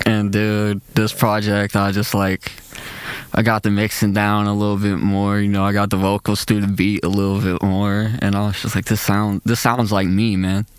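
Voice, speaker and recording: A man's voice: on the low side, monotone, normal speed, smooth. A young man, a rapper and producer, with a nasal voice, talking loosely and casually in slang as a podcast guest, full of "like" and "man", into a close mic. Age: young adult. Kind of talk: casual interview chat.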